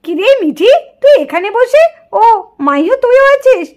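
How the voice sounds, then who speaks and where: A woman's voice: very high voice, sing-song, unhurried, a little mellow, exaggerated voice. A woman voicing a strict, scolding mother with a stern, accusing manner in a puppet doll cartoon. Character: scolding, strict mother of two young girls.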